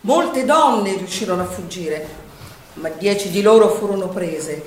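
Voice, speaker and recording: A woman's voice: medium pitch, animated, a little slow, lightly acted. A woman performing a declamatory theatrical narration. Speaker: stage performer. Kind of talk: theatrical storytelling on the wartime Resistance. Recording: echoey stage, distant mic, faint noise.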